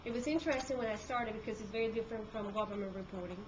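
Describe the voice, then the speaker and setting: A woman's voice: medium pitch, racing. A woman from Peru whose first language is Spanish, speaking with a Peruvian Spanish accent as a court reporter, talking conversationally to a group with many fillers and restarts. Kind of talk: talk to a group. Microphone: handheld camcorder. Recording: dull lo-fi camcorder audio with room noise.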